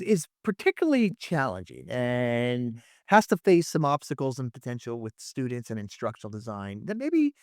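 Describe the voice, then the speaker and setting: A man's voice: mid-range voice, darkish tone, no room sound, studio quality. A man hosting a podcast interview in an informal, discursive, conversational way, often checking in as he goes. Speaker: podcast host, history teacher.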